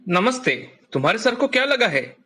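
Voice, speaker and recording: A man playing a brisk police officer in a children's animated story, with an official, matter-of-fact manner. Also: high voice, husky, heavily acted. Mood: businesslike.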